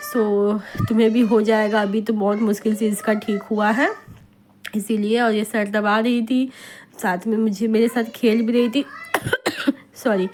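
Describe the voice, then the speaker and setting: A hoarse woman with a slightly high voice narrates her daily home vlog. She is in her thirties and talks in a loose, chatty way, wandering from point to point and mixing in English words as she goes. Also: steady tone, unhurried, darkish tone, slight background noise. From India. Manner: chatty, rambling, mixes English words into Hindi. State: hoarse with a cold. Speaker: vlogger. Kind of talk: casual voice-over narration.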